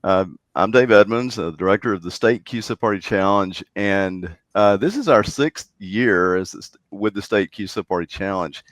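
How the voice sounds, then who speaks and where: A man's voice: fairly low pitch, normal speed, hardly any pauses, no room sound. A man speaking as an interview guest, explaining things in a conversational way. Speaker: interview guest, contest program director.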